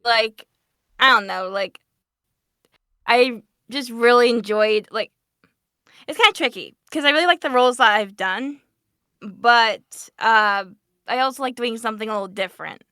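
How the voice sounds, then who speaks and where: A woman with a high, very bright voice talks casually on a voice acting panel. Her delivery is smooth and playful, and the sound is very dry. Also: moderate pace, long pauses, dry recording. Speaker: voice actor on a fan dub Q&A panel.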